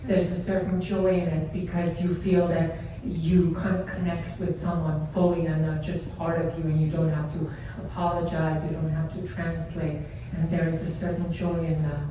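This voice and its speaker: Flat-voiced woman, far and echoey. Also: deep voice, moderate pace, hardly any pauses, background noise. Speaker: actor on a panel. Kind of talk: casual panel talk. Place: theater stage. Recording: echoey hall, distant mic.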